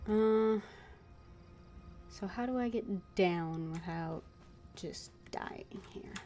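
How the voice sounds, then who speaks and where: A woman game streamer giving casual live commentary, often murmuring quietly as if to herself. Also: mid-range voice, drawn-out words, soft background music.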